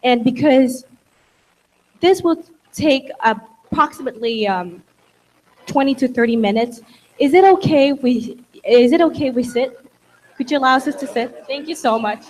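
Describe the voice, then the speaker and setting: A woman's voice: high voice, normal speed, pauses often, darkish tone, rough recording. Young woman moderating a stage talk. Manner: friendly, rephrasing and summarizing. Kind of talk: conference moderation. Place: dark conference hall stage. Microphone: handheld mic.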